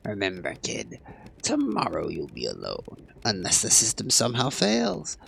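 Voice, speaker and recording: A hoarse man voicing a sardonic veteran male bank teller who mentors a new recruit with theatrical, drawn-out mockery. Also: fairly low pitch, huge pitch swings, a bit bright, a little hiss or hum, full character voice. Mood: mocking. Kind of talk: video game character voice.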